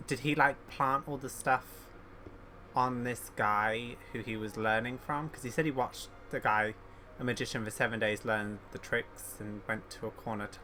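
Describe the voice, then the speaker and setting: A man's voice: medium pitch, pushed and bright, slight background noise. A man giving casual, rambling commentary as a game streamer, thinking aloud and opinionated.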